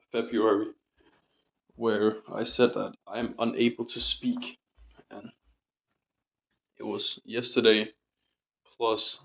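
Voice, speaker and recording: A man's voice: fairly low pitch, vocal fry, highs cut off. A young man rambling casually in a diary vlog, full of fillers and casual swearing, his voice still recovering from a sore throat. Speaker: vlogger.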